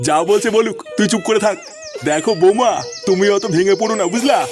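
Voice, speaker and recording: Man doing a worried, high-voiced cartoon grandpa. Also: fairly quick, close to an everyday voice. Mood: consoling. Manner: earnest, pleading, consoling. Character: worried, plaintive old grandfather of missing boy. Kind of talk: comic cartoon voice acting.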